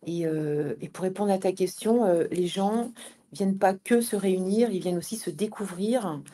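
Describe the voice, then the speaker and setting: A woman being interviewed as a guest, speaking conversationally and haltingly, often repeating words as she builds her answers. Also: mid-range voice, dark tone, slight background noise. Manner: hesitant, halting delivery with many repeated words. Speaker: interview guest, founder and manager of an event venue.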